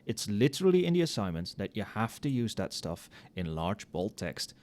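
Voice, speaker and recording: A man playing an overworked, tipsy magic professor in a casual ASMR roleplay, muttering softly with dry, wry asides. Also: on the low side, very animated, moderate pace. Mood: mildly exasperated. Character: tipsy, overworked male magic professor grading late at night.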